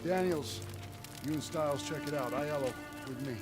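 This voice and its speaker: An actor voicing a blunt, watchful World War Two squad leader in a game, terse and commanding. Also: male voice, on the high side, normal speed, natural voice. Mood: wary, commanding. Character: watchful, blunt WWII army squad leader giving orders. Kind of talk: cinematic game acting. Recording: music behind the voice.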